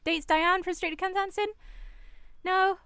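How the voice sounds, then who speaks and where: A woman's voice: very high-pitched, steady tone, unhurried, sharp tone, no room sound. A young woman co-hosting a radio show in casual, informal chat, reacting to her co-host. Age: young adult.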